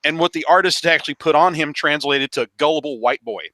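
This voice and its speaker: Man, bright forceful voice, dry close sound, podcast panelist, stop-and-start anecdotal chat. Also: fairly high pitch, fast, no room sound. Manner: stop-and-start storytelling with repeated words.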